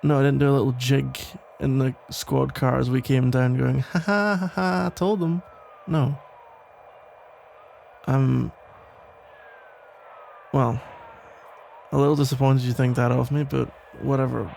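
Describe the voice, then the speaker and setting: A man playing a protective, worried police officer and ex-boyfriend in an ASMR audio roleplay, talking in a halting, casual, gently exasperated way with a gravelly voice. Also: mid-range voice, steady tone, slight background noise. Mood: hurt, dry. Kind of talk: ASMR roleplay, conversational one-sided acting.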